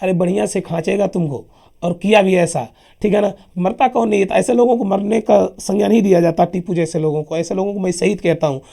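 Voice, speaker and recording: A man with a sore throat teaching a lively history lesson for exams, emphatic and chatty, often calling his listeners sir. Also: high voice, a little fast. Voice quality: husky, gravelly. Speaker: exam coaching teacher. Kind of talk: lively classroom history lecture.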